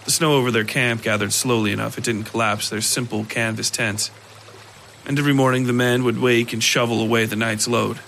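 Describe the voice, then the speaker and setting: Bright-voiced male storyteller, measured and grave. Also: mid-range voice, creaky, slight background noise, poor audio. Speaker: audio fiction narrator. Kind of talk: scripted literary story narration.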